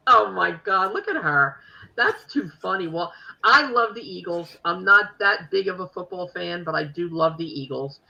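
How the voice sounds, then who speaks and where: A woman's voice: lowish voice, animated, moderate pace, vocal fry, sharp tone, dull audio. A woman with a Philadelphia accent hosting a podcast, chatting casually and rambling with many fillers. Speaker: podcast host. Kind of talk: casual chat.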